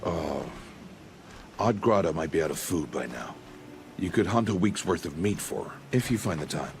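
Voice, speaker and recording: Stern, stoic male hunter-mentor, game drama, deep and measured, formal. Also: animated, creaky, slight background noise. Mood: stern, resigned. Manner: grave, measured, formal speech. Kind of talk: dramatic video game acting. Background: music.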